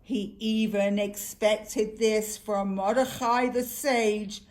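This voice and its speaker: A woman narrating a rhyming children's story with a theatrical, drawn-out delivery. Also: medium pitch, fairly even intonation, darkish tone. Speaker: puppet show performer. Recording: music behind the voice.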